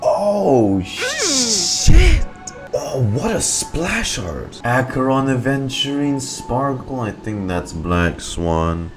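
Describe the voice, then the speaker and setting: A man's voice: mid-range voice, huge pitch swings, extremely slow. A man giving casual, slangy, amused reaction commentary on a game, swearing as he blurts things out. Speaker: streamer reacting to a game story. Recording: game music playing behind the voice. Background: game music and dialogue.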